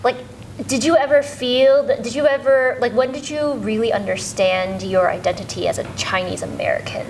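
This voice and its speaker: A woman interviewer chatting casually, encouraging her guest with quick backchannels and playful questions. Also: fairly high pitch, slight background noise, poor audio. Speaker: interviewer, executive director.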